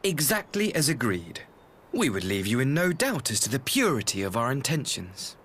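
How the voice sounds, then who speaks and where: An actor playing a smooth, scheming young ambassador in a video game, mock-courteous and theatrical. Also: male voice, medium pitch, wildly swooping pitch, moderate pace, a bit bright, full character voice. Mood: smug courtesy. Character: smooth, scheming young imperial ambassador, cruelly mocking brother. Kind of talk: video game cutscene acting.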